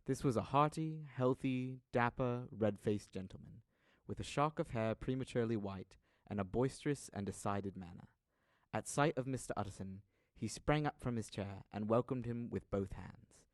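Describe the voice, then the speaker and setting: A man's voice: fairly low pitch, a little mellow, no room sound. A young man reading scripted literary narration for an audio drama in a formal, dramatic storytelling manner.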